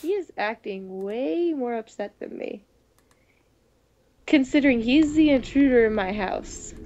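A woman's voice: a little high, animated, measured pace, a little mellow. A playful woman reading visual novel narration aloud between bits of casual chat, sometimes stumbling over the text. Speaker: gaming video host. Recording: muffled, noisy home microphone.

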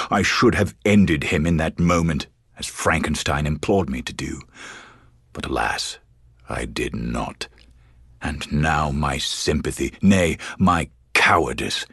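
A haunted, resolute English sea captain, narrates a letter for a board game. His voice is extremely low and husky, with formal, theatrical phrasing full of foreboding. The recording is dry, with no room sound. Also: fairly bright tone, no room sound, exaggerated voice. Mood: bitter, regretful. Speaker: actor reading a game's introduction letter.

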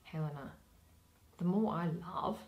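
A young woman reading a play in verse aloud, dramatically and expressively, pausing between phrases. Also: on the low side, highs cut off. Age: young adult. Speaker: reader of a Shakespeare play. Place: small plain room indoors.

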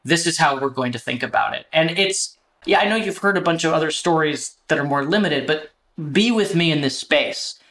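Man, bright forward tone, slightly high, earnest panel talker. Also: normal speed. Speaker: theater director and panelist. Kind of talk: conversational panel discussion.

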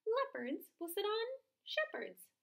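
Storybook frog, male, small and smug, read by a woman; high, loud, drawn out. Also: wildly swooping pitch, creaky, exaggerated voice. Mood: boastful. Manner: high, loud, slow and sing-song. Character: boastful small male frog, cheerfully bossy.

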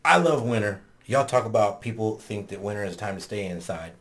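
A man hosting a podcast in a small studio, talking casually, swearing often and laughing loudly. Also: fairly low pitch, lively intonation, a little fast. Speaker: podcast host. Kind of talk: casual chat. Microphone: studio mic on boom arm.

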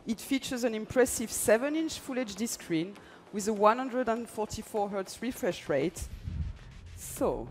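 A woman gives an upbeat, rehearsed product pitch from a large arena stage, speaking into a headset mic. Her pitch sits mid-range, and soft music plays underneath. The delivery is scripted and polished. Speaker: product presenter. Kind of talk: scripted keynote presentation.

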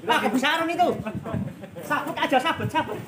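A man's voice: extremely high pitch, a little fast, roomy sound, far from the mic, very noisy. A man chatting and joking at an outdoor cattle farm. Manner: joking, laughing, calling out to others. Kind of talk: casual chat with playful commentary.

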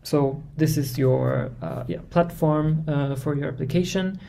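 A young man presenting a university admissions webinar over a video call, explaining steps in a measured way with frequent fillers. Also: fairly high pitch, vocal fry, mellow.

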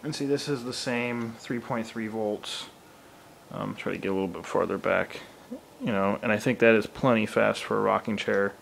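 A hoarse young man in his twenties, casually explaining a home project while filming on a handheld phone, with lots of ums. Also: fairly low pitch, moderate pace, a little hiss or hum, poor audio. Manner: rambling, many ums, explaining while handling gear. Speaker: hobbyist showing a Halloween haunt build. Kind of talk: casual filmed walkthrough. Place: room in a home, indoors. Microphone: handheld phone camera.

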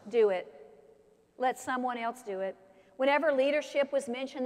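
An older woman pastor preaching an animated sermon from a pulpit in a large church sanctuary. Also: a little high. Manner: animated, expressive preaching with gestures. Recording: long church reverb.

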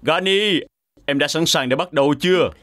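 A woman voicing a confident, showy male race-car action star in a dubbed cartoon, talking in an animated, expressive way. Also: deep voice, normal speed, lightly acted. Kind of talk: Vietnamese cartoon dub.

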